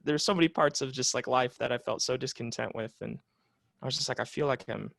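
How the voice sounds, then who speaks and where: Man in his twenties, gravelly fry, reflective and rambling, dry close sound, psychotherapist guest. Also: medium pitch, brisk, fairly bright tone, no room sound. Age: 20s. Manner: reflective, many fillers and restarts. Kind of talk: casual conversational interview.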